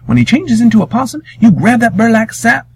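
A wise old swamp grandmother lays down firm orders in a high voice, as a man puts her on. She sounds sure and bossy, over a faint hiss. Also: sing-song, fairly quick, heavily acted. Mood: commanding. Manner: firm, instructing.

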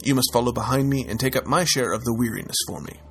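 A man's voice: medium pitch, fast talker, a bit bright, slightly rough, soft background music. A man voicing Anansi, a lazy, cunning male spider trickster who talks others into doing his work. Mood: scheming.

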